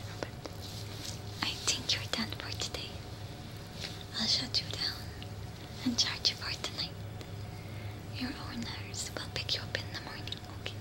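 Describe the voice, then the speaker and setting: A young woman performs a soothing ASMR roleplay in a hushed whisper, right up at the microphone. She speaks slowly and evenly, with gentle reassurance, like a technician tending to someone in her care. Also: fairly high pitch, low-quality recording. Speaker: ASMR performer playing a robot repair technician. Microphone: close mic.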